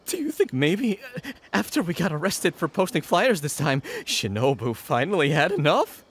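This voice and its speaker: A woman playing a blunt, excitable young gang sidekick in a video game, talking in slang. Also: low voice, normal speed, slight background noise. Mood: worried.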